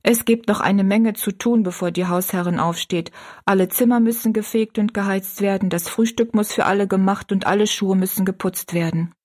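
A woman's voice: mid-range voice, steady tone, moderate pace, darkish tone, close to an everyday voice. A woman voicing a poor, simple young kitchen maid who speaks plainly and wonders at what she hears. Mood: wondering. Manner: simple, amazed, plain-spoken.

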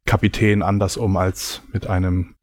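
Guy answering interview questions, lowish voice, explains things, casual, no room sound. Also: level tone, moderate pace, a little mellow, dry recording, clean studio sound. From Germany. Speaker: interview guest.

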